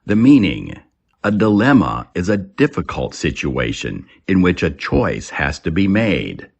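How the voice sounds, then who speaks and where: Man, English teacher, scripted lesson reading, slow pace, creaky fry, deliberate phrasing, dull lo-fi recording. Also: low voice, sing-song. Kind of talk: scripted vocabulary lesson narration.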